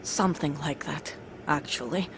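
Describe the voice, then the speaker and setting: A guarded woman soldier carrying grief in a video game. The voice is on the low side, serious and restrained. Noticeable noise lies behind it. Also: moderate pace. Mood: sorrowful, confessing. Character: guarded, sorrowful female soldier, bereft mother. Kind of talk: dramatic video game acting.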